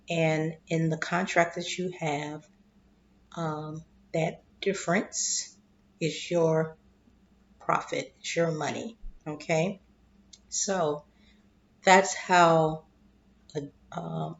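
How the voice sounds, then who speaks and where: A woman explaining investing in a slow, deliberate way, clearing her throat and losing her voice, often checking in with "okay?". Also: sits low, highs cut off. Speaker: investing vlogger. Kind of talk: casual explanatory monologue.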